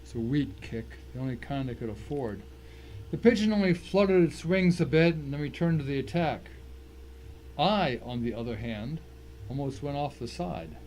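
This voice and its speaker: A man's voice: medium pitch, lively intonation, normal speed, a little mellow, poor audio. A man giving a dramatic first-person reading of a short story as its narrator. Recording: faint noise and ambience behind.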